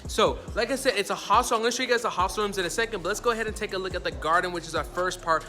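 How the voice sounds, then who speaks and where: A man vlogging a walking tour of a hostel, chatty and energetic, often calling out to viewers as guys. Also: high voice, rapid-fire, soft background music. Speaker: travel vlogger. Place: hostel, moving between rooms.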